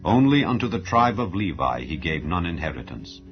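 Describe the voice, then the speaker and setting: Deep-voiced man solemnly reading scripture over music. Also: sing-song, normal speed. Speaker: Bible narrator. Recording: dull lo-fi audio under music. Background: background music.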